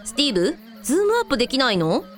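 An outspoken, dependable big sister played by a woman talks with lively, swinging intonation. Her voice is fairly high, in radio-drama style, with faint music behind. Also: racing. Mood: inquisitive. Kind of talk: amateur anime-like radio drama acting.

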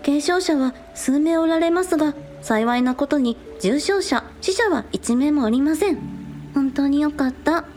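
Teen village idol, played by a woman: very high voice, cute, earnest and excitable, audio drama with music behind. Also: unhurried. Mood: earnest reporting. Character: bubbly teenage girl village idol, streaming live. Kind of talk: Japanese audio drama acting.

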